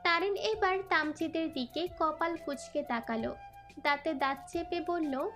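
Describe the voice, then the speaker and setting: একজন নারী কথক লিখিত গল্প পাঠ করে শোনাচ্ছেন।. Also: female voice, very high-pitched, unhurried, a bit bright. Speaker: story narrator. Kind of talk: scripted story narration. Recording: background music under narration. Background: music.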